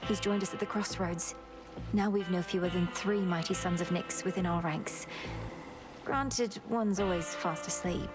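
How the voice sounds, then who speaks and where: A woman's voice: on the low side, fairly even intonation, moderate pace, over music, close to an everyday voice. A woman playing a dutiful young witch princess in a video game, formal, polite and resolute. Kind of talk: scripted video game dialogue.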